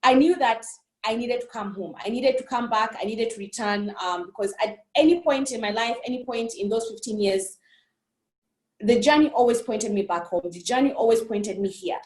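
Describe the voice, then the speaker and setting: A woman's voice: on the high side, moderate pace, reverberant. A woman from Burundi giving a passionate conference keynote, earnest and repeating phrases for emphasis. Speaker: keynote speaker. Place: on a remote call.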